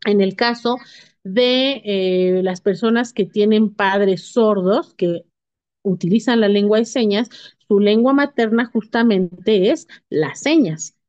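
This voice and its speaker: A woman teaching a class, explaining terms in a steady, patient way with occasional fillers and self-corrections. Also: mid-range voice, takes it slowly, no echo, muffled recording.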